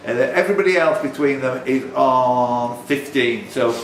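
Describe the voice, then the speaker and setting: A man's voice: mid-range voice, moderate pace. A man hosting a live pub quiz, reading questions and bantering, with asides that interrupt his own sentences. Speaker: quiz host, question reader. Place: indoors in a tavern. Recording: roomy pub, distant mic, faint noise.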